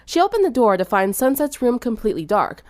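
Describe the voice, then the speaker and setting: A woman's voice: a little high, sing-song, brisk, no echo, clean studio sound. A young adult woman reading scripted story narration. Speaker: fan fiction narrator.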